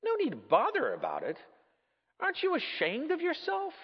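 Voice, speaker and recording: Man putting on a high, indignant blustering ghost. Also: big pitch swings, moderate pace. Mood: aggrieved. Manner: blustering, aggrieved, rhetorical questioning. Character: big indignant male ghost, self-righteous working-class chap. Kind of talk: dramatic storybook reading. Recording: muffled, echoey large hall.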